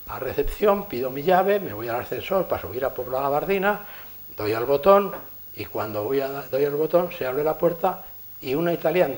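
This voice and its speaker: A man with a Spanish accent from Spain giving a conference lecture, telling lively anecdotes and chuckling at his own stories. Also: mid-range voice. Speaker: engineer giving a conference talk. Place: lecture hall.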